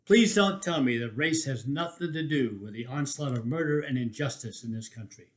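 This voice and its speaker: A man's voice: medium pitch, expressive, normal speed. A man reading a first-person essay aloud as an emphatic, rhetorical storyteller. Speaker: reader of a written personal chronicle.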